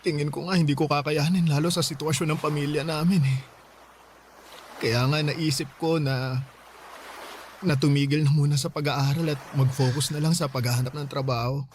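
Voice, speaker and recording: A grieving young man and dutiful eldest son, voiced by a young actor in a radio drama. He talks earnestly and sorrowfully in a slightly high voice. A faint hiss sits behind him. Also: fairly even intonation, normal speed, darkish tone, lightly acted. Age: young adult. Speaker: boyfriend, college student in radio drama.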